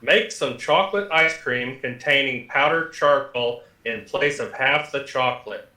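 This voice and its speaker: A man's voice: mid-range voice, measured pace, pushed and bright, reverberant, faint music behind, low-quality recording. A man voicing an impassive computer service in a radio comedy, speaking like a formal, matter-of-fact announcer. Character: impassive talking computer service giving deadly advice.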